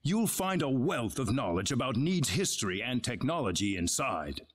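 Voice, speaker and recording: An actor playing a grave, courteous leader of an advanced civilization in a video game, speaking in formal, measured exposition. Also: male voice, mid-range voice, big pitch swings. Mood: measured, instructive. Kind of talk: dramatic video game dialogue.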